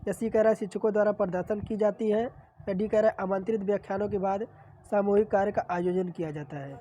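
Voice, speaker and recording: A woman's voice: mid-range voice, fairly even intonation, quick pace, dark tone, muffled recording, slight background noise. A woman teacher with a sore throat, steadily reading out exam questions and explaining the answers. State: sore throat, clearing throat often. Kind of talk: reading and solving practice questions.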